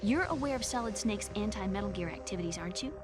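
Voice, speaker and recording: A woman's voice: medium pitch, lively intonation, fast talker, lightly acted. A woman playing a young computer programmer in a video game cutscene, bitter yet matter-of-fact, with precise delivery. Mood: matter-of-fact, explanatory. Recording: game music behind the voice.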